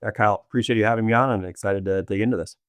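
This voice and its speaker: A man being interviewed as a business executive, explaining his views in an animated way with many restarts. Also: lowish voice, rapid-fire, smooth, dry recording, clean studio sound. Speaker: guest, revenue operations executive. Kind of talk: casual interview chat.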